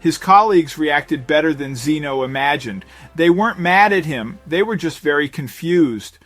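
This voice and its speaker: Higher-pitched male narrator, dramatic suspense storytelling. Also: animated, moderate pace, fairly bright tone. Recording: voice-over with music underneath. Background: background music.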